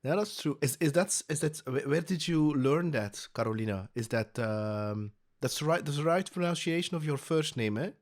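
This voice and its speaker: Halting male podcast host. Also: on the high side, animated. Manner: halting, many fillers and restarts, friendly. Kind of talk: casual interview talk.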